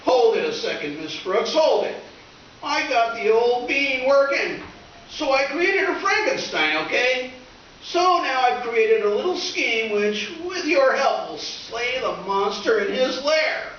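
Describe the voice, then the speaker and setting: A man's voice: very high-pitched, big pitch swings, unhurried, a bit bright, highs cut off, exaggerated voice. A man playing a scheming, melodramatic teenage schoolboy with slangy, whiny delivery in old-time radio comedy style. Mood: excited scheming. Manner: whiny, exclamatory, theatrical pleading. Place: live stage performance in a reverberant room. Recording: echoey distant stage recording with hiss.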